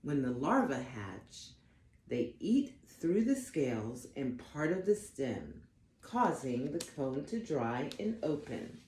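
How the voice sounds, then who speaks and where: Low-voiced woman reading slowly to children. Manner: slow, expressive, deliberate phrasing for young listeners. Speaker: storybook reader for children. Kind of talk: read-aloud of a children's picture book. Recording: roomy echo, faint background noise.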